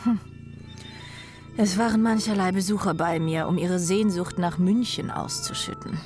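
An actress in a radio play playing a clever, affectionate small woman, speaking intimately and gently probing. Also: on the low side, moderate pace, soft background music, close to an everyday voice. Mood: confiding. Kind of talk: German radio play acting.

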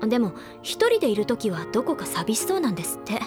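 A woman playing a confident, teasing high-school senior girl who recounts gossip in a feminine storytelling way in an audio drama. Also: very animated, fast talker, over music. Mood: playful, informative. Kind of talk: anime audio drama dialogue.

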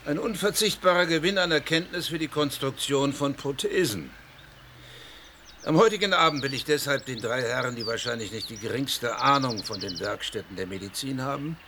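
A man's voice: medium pitch, lively intonation, normal speed, sharp tone, slight background noise. An actor playing a proud, self-assured older surgeon who lectures his guests in a radio play. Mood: lecturing.

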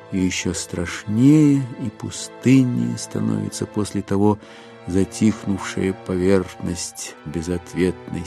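A man narrating a literary audio drama as a measured, scripted storyteller. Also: lowish voice, creaky, warm tone.

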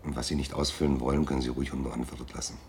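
Radio drama private eye: very low voice, dry, inquisitive, faint noise behind. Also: male voice, little pitch movement, rapid-fire, creaky, darkish tone. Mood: businesslike, probing. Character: businesslike, probing male private detective taking on a case. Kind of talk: naturalistic German radio drama.

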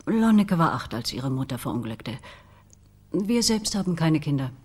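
Husky, composed politician's wife. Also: female voice, low-pitched, big pitch swings, normal speed, darkish tone, full character voice. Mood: reserved. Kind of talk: radio crime drama dialogue.

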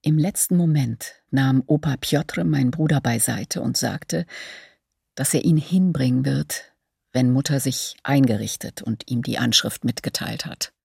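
Documentary radio drama witness: sober woman survivor, heavy vocal fry, husky, reflective. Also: very deep voice, measured pace, mellow, no room sound, studio quality, full character voice. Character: elderly woman survivor soberly recalling her family.

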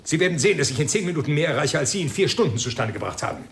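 An actor playing a brusque, defensive, well-off father in a radio crime drama, with a creaky voice and a tightly controlled manner. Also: on the high side, big pitch swings, very fast, background noise. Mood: defensive, impatient. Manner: brusque, hesitant under pressure.

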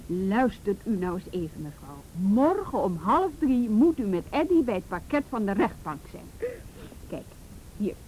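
An actress playing a brisk, exasperated official in a radio play, firm and formal as she admonishes. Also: medium pitch, very animated, darkish tone. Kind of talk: radio drama acting. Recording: noisy old radio play recording.

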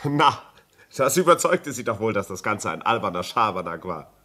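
An actor playing a sceptical, rational gentleman visitor in a German radio play, reasoning emphatically and politely dismissive. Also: fairly low pitch, sing-song, normal speed, heavily acted. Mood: confident explaining.

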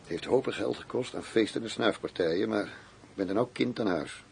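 Gravelly, low-voiced undercover agent. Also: male voice, fairly quick, a little mellow, slight background noise. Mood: measured, concerned. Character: male undercover agent posing as a big gangster boss. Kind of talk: radio drama dialogue.

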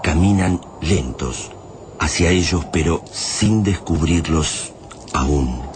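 Male narrator for radio drama, Argentine accent, deep register, dramatic read over noticeable noise. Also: takes it slowly. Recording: clearly noisy radio drama track.

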